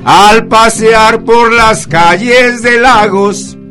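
A man, a singer-songwriter guest singing a heartfelt ballad in a slow, sincere way. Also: high-pitched, sharp tone. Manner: slow, heartfelt sung delivery. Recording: guitar accompaniment behind voice.

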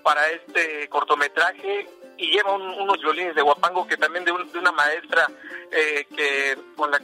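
A man's voice: fairly high pitch, animated, normal speed, bright, forceful tone, soft background music. A man from Veracruz, a musician guest in a podcast interview, talking casually with many fillers and restarts. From Veracruz, Mexico.

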